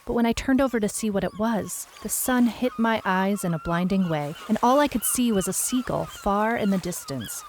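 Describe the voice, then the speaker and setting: A woman's voice: medium pitch, sing-song, moderate pace, hardly any pauses, a little mellow, a little hiss or hum, lightly acted. An actress playing a wry, skeptical private detective, narrating her mystery story in a dry, musing first-person way. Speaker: narrator and lead character of an audio mystery serial.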